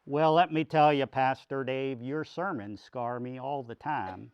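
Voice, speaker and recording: Wisecracking male puppet, acted by a man, children's show: folksy drawl, teasing complaints, unhurried, muffled recording. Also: medium pitch, a little mellow, clear, resonant tone, no echo, voice pushed down, natural voice. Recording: dull lo-fi sound.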